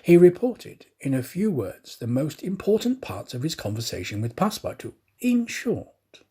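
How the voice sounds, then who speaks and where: Husky, expressive male storyteller. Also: medium pitch, moderate pace. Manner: theatrical, dramatic storytelling. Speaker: audiobook reader, professional actor.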